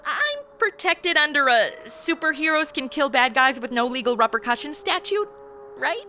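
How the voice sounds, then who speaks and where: An actress playing a flippant modern young woman as a superhero sidekick, deadpan and wisecracking, in an audio comedy. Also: sits high, moderate pace, a little hiss or hum, heavily acted. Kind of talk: comedic audio drama acting.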